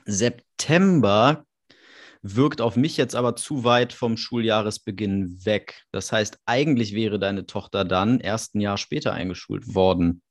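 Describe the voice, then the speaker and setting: A man's voice: lowish voice, measured pace. A man in his thirties hosting a casual interview over a video call, chatty and curious. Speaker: podcast host.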